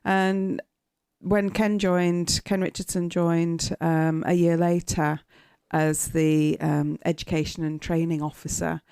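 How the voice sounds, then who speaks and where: A British woman in her fifties, a guest on a podcast, telling her story in a chatty way with many fillers and a loud voice. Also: lowish voice, level tone, slow pace, smooth, no room sound, clean studio sound. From United Kingdom. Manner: chatty, warm storytelling with frequent fillers and laughs. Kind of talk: casual podcast interview.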